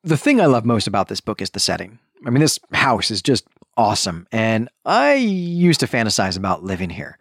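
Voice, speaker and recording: A man's voice: lowish voice, very animated, fairly quick, husky, dry recording, clean studio sound. A middle-aged American man from the Chicago suburbs hosting a book podcast, talking through a review in an enthusiastic, discursive, conversational way. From suburb of Chicago, US Midwest. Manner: enthusiastic, discursive, often checks in with "right?".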